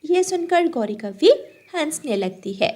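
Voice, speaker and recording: A woman's voice: high-pitched, big pitch swings, measured pace, a little mellow. A woman narrating a scripted children's cartoon story.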